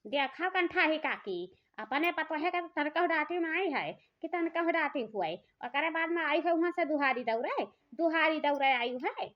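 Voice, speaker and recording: A woman's voice: sits high, expressive, normal speed, few pauses. A woman playing an angry, scolding village farmer in a comic cartoon, speaking with an eastern Uttar Pradesh accent and repeating herself. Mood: accusing. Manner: scolding, repetitive, confrontational. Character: angry village woman farmer accusing thieves of stealing peanuts. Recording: dull lo-fi audio.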